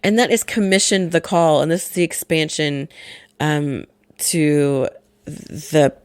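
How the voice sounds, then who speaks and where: A woman co-hosting a casual podcast, her voice gravelly and lower from a respiratory infection, chatty and often correcting herself. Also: fairly even intonation, slow, dry recording. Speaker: podcast co-host.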